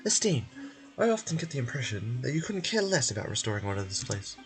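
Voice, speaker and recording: A man's voice: mid-range voice, sing-song, fast, faint music behind, rough recording. Man putting on a stern, officious record keeper. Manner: formal, strict.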